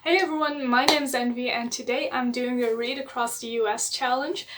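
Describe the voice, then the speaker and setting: A woman's voice: a little high, steady tone, normal speed, over music. A young woman from the Netherlands, chatting enthusiastically in a casual book vlog and laughing often. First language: Dutch. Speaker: book vlogger.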